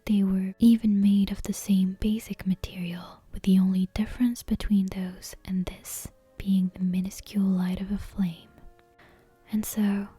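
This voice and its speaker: A woman whispering softly and breathily into a close microphone as an ASMR host. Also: medium pitch, husky. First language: non-native English speaker. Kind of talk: whispered ASMR bedtime reading and casual chat.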